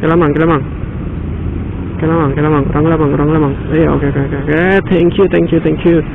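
A fairly high-voiced man with a Sundanese-flavoured Indonesian accent chats in slangy bursts and quick exclamations as he rides a motorcycle through traffic. The sound is thin and phone-like, captured by a helmet camera, with loud road and wind noise underneath. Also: little pitch movement, creaky. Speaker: motovlogger. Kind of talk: casual running commentary while riding. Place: outdoors on a moving motorcycle in traffic. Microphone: helmet-mounted action camera. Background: traffic and engine noise.

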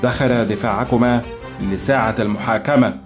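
A man's voice: mid-range voice, fairly even intonation, fairly quick, music in the background, lightly acted. A man voicing a stern, driven intelligence officer who barks urgent orders. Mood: stern, angry. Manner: urgent, commanding, shouting orders. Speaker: lead character in an audiobook.

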